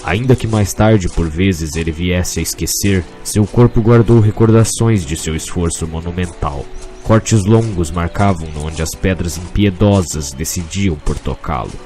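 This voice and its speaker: A man's voice: deep voice, unhurried, dark tone, soft background music. A man reading a scripted fantasy tale as narrator, in a solemn, literary manner.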